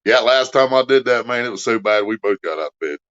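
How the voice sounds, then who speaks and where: A man's voice: medium pitch, animated, brisk, fairly bright tone, lo-fi sound. A man hosting a casual livestream, cracking crude jokes and reading out donations. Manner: crude joking, reads donations aloud. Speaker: livestream host.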